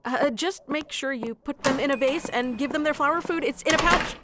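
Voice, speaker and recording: A woman's voice: sits high, a little fast, very noisy, lightly acted. Woman acting a sweet, flustered young florist. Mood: polite, helpful. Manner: hesitant, soft-hearted, breaking into nervous chuckles and sighs. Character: flustered, sweet florist woman secretly in love with best friend. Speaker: audio roleplay performer. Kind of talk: intimate ASMR roleplay acting.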